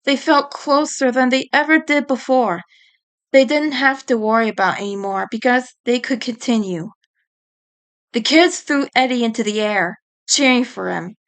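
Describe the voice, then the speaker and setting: A woman's voice: on the high side, moderate pace, a bit bright. A woman reading a story aloud as dramatic audiobook narration. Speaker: audiobook reader.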